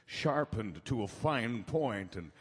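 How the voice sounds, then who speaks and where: Solemn doctor narrator, husky, deliberate, dramatic, vintage radio horror play, big echoing hall. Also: male voice, mid-range voice, animated. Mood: grave dread. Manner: measured, dramatic, deliberate phrasing. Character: grave doctor recounting vampire horrors from his journal. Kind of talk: live radio drama narration in 1930s-40s manner. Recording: live stage broadcast in reverberant hall.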